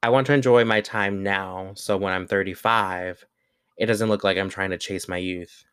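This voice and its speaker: Man, twenties, very deep voice, dry close sound, relaxed candid delivery. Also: normal speed, clear, resonant tone, no echo. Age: 20s. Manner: relaxed and candid, with frequent fillers and self-corrections. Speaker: vlogger. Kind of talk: reading his own essay aloud, then casual reflection.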